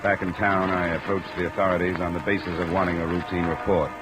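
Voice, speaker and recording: An actor playing a cool, probing insurance investigator in a 1950s radio drama, measured, dry and matter-of-fact. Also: male voice, low voice, over music, crowd noise, close to an everyday voice, rough recording. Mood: dry, reflective. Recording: old radio recording under loud rain noise. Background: steady rain sounds.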